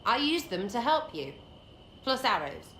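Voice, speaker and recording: A woman's voice: fairly high pitch, sing-song, measured pace, a bit bright. A woman playing a cheeky young English commoner rogue in a video game, slangy, blunt, mocking and rambling. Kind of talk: video game dialogue acting. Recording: roomy echo, faint background noise.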